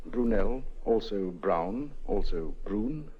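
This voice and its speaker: Jaunty, swooping posh English spymaster. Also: male voice, on the low side, animated, takes it slowly, slightly rough, full character voice. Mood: sly, businesslike. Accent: upper-class English. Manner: clipped, chatty, donnish, full of tag questions. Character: jaunty, eccentric upper-class British spymaster captain. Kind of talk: 1950s radio drama acting. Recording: dull vintage radio recording.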